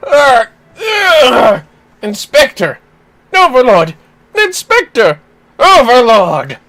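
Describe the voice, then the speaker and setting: Shrill pompous undead gentleman inspector. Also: huge pitch swings, slow, soft background music, heavily acted. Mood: flustered. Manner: pompous, theatrical declaiming. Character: flamboyant undead gentleman inspector, confused and grandiose.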